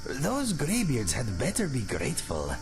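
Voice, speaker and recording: A man voicing a friendly, loyal adventuring companion in a fantasy game, expressive and slightly formal. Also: medium pitch, a little slow, music in the background.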